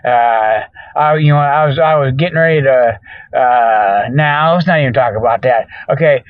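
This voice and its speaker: A man's voice: medium pitch, normal speed, dry recording. A middle-aged man who sounds a little tired, hosting a comedy show with a rambling, folksy, jokey improvised monologue. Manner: folksy, jokey, meandering, chuckles at own jokes. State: tired, weary. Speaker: host of a comedic Bigfoot show. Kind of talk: rambling improvised monologue with mock sales pitches. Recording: dull lo-fi radio-style audio.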